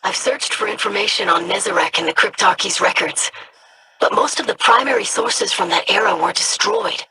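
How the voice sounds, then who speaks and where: A performer voicing a scholarly researcher of ancient relics in a video game, speaking in a measured, formal, analytical way. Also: medium pitch, pushed and bright, slight background noise, natural voice, low-quality recording. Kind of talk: video game character lines.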